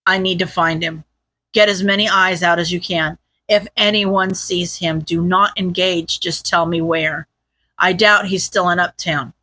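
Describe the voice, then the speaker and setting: Woman voicing a low, terse, tired man. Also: steady tone, measured pace, sharp tone, no room sound, low-quality recording. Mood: urgent, guarded. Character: tired, urgent male hero on a tense phone call.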